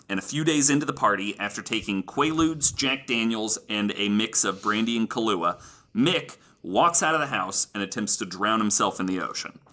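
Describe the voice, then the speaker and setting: A man's voice: on the low side, sharp tone. A man hosting a casual podcast, telling stories from his notes in an informal, amused, sweary way. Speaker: podcast host.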